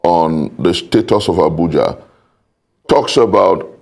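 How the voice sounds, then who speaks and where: A man's voice: sits low, lively intonation, vocal fry, a little mellow. A man with a Nigerian accent making an emphatic, formal argument in a political debate, repeating phrases for weight. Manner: emphatic, formal, deliberate repetition. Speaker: political panel guest.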